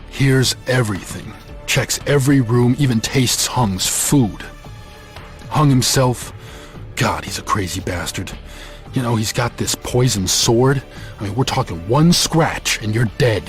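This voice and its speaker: An actor playing a battered, talkative captive agent in a video game, shaken and rambling with exclamations. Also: male voice, medium pitch, expressive, a little slow, faint music behind, lightly acted. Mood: anxious, grateful.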